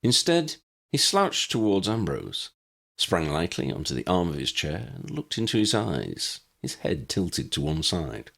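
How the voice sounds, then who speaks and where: A man's voice: low-pitched, huge pitch swings, unhurried, gravelly, a bit bright. A man reading a scripted story aloud as an audiobook narrator. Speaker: audiobook narrator reading own fan fiction.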